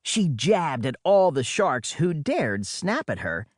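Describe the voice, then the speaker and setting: A man's voice: fairly high pitch, very animated, slow pace, no echo, studio quality. A man reading a children's adventure audiobook in the first person as a boy storyteller, with dramatic delivery and wry conversational asides. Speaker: audiobook narrator.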